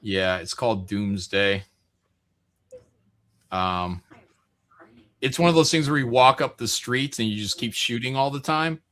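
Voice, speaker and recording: Male, bright forceful voice, casual banter, teasing, mock-defensive, livestream host. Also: mid-range voice, animated, unhurried, long pauses.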